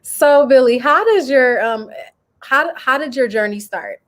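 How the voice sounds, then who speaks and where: A woman's voice: high voice, expressive, unhurried. A young woman hosting an interview over a video call from home, friendly and encouraging. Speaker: podcast host. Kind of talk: casual interview. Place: home room.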